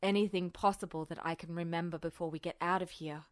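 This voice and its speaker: A woman voicing an older military man, a smug colonel who talks in a measured, earnest, insistent way, in an audiobook story. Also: lowish voice, steady tone, no room sound, clean studio sound, pitched below the performer's own voice, natural voice. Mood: urgent. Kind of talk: fan fiction audiobook dialogue.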